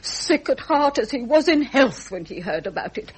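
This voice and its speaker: A woman's voice: sits high, sing-song, husky, full character voice. An actress playing a stern, grieving old British queen and reproachful mother in a radio drama, formal and imperious. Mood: bitter, accusing. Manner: imperious, measured, formal, emotionally wounded.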